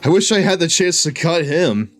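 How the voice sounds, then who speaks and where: A man's voice: a little high, lively intonation, normal speed, soft background music. A man giving casual live commentary as he streams a game, with an occasional stammer.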